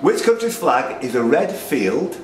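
A man's voice: mid-range voice, very animated, measured pace. A man hosting a live pub quiz, reading out questions with chatty, joking asides, recorded at a distance. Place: indoors in a pub. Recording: echoey, noisy pub, distant microphone.

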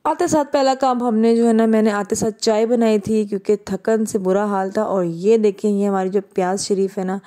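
A woman's voice: medium pitch, steady tone, moderate pace, hardly any pauses, dark tone. A young woman from Pakistan, chatting casually as she narrates her own vlog. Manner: chatty, conversational, laughs while talking. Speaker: vlogger.

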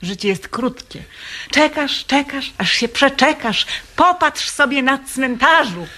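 A nagging, money-hungry wife in a radio comedy. Her voice is high and swings widely in pitch. She is pushy and sarcastic, with little patience. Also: expressive, slow, bright, forceful tone, exaggerated voice. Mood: exasperated. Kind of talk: radio drama acting, domestic comedy.

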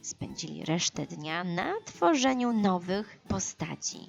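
A woman reads a children's story in a lowish, very animated voice. She takes her time over every sentence. Music plays faintly behind her. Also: vocal fry. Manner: expressive, unhurried, dramatized for children. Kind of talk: scripted children's audiobook narration.

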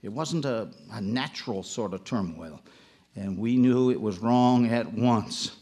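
A man's voice: medium pitch, unhurried, darkish tone, natural voice. An actor playing a wry, folksy small-town ice fisherman narrating a ghost tale in a live radio drama. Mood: wry, storytelling.

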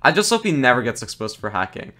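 A young man casually commentating his own game stream, excitable, laughing often and groaning at his fails. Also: medium pitch, fast. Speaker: gaming streamer.

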